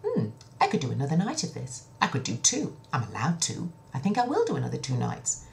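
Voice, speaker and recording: A woman's voice: extremely low pitch, wildly swooping pitch, brisk, gravelly, darkish tone, heavily acted. A woman voicing a carefree, pleasure-loving male soldier in a children's fairy tale, musing to himself. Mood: musing, pleased.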